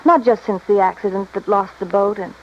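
A woman's voice: medium pitch, steady tone, brisk, lightly acted. A woman playing a discontented wife, muffled radio drama. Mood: confessional, bitter. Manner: confessional, hesitant, plaintive. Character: discontented, self-pitying, mercenary wife, a former beauty. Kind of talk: 1950s-60s radio drama acting. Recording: dull, faintly noisy old radio recording.

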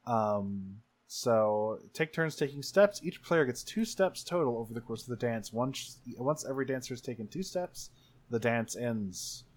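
A man plays a tabletop role-playing game, narrating his character's actions in a casual, improvised way. His pitch sits in the middle of the male range. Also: lively intonation, measured pace. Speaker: tabletop role-play player.

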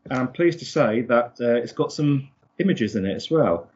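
A man chats casually about books as a podcast co-host. He rambles and hesitates as he searches for words, in a husky voice. The recording is a little dull and lo-fi. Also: mid-range voice, expressive, normal speed, a little mellow. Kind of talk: casual book recap chat.

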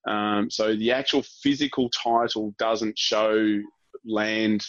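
Aussie guy explaining stuff in an interview, deepish, stop-start, bit muffled. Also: little pitch movement, fairly bright tone, no room sound. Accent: Australian. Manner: halting, rephrases as he explains. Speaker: guest real estate director. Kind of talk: informal explanatory interview answers.

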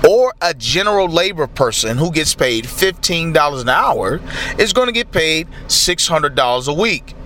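Male preacher; slow and lively, bright tone, faint traffic behind. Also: on the high side. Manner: slow, emphatic preaching with rising exclamations. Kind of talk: sermon.

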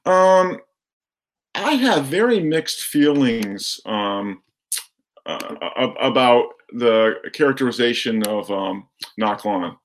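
Measured man in a film discussion. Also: medium pitch, animated, a little hiss or hum. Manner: measured, hesitant, qualifying his opinions. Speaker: guest film commentator.

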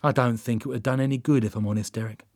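A man's voice: mid-range voice, steady tone, racing, a little mellow, no echo, lightly acted. A man voicing a matter-of-fact, scheming female cult leader in a comic audiobook, in a dry, polite and understated manner. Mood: dry, resigned.

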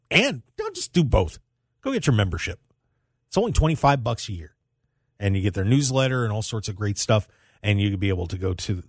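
A man's voice: on the low side, no echo, clean studio sound. A man hosting a radio show in a casual sports-talk style. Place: radio studio.

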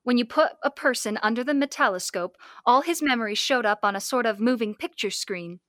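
High-voiced, earnest young heroine played by a woman. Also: brisk, fairly bright tone, no echo, studio quality, natural voice. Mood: inquisitive. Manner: earnest, questioning. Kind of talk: old-time radio drama acting.

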